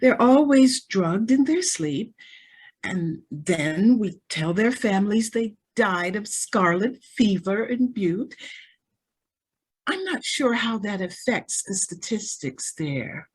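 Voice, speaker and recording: A woman's voice: medium pitch, lively intonation, slow, breathy edge. A woman playing a spoiled, naively callous young heiress in an old-time radio style drama, girlish and breezy in manner. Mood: breezily callous. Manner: breezy, girlish, petulant when crossed.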